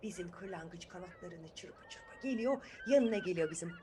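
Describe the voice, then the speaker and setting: Bir kadın, çocuklara sorular sorarak, sözleri tekrarlayarak dramatik bir dille doğaçlama masal anlatıyor. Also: female voice, on the low side, lively intonation, normal speed, warm tone, a little hiss or hum. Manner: dramatic, repeats words, asks listeners questions. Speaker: children's storyteller. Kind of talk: improvised, animated storytelling to children.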